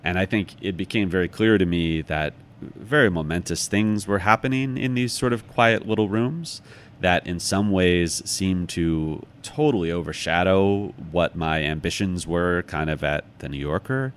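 A man's voice: low voice, moderate pace. An American man speaking reflectively as an interview guest, thoughtful and searching for his words. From United States, Chicago.

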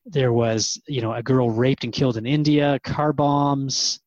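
A man's voice: medium pitch, a little mellow, dry recording. A man in a conversational radio drama, asking and answering questions in a hesitant, reflective way. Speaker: interviewer in a radio drama series. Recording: dry, slightly dull audio.

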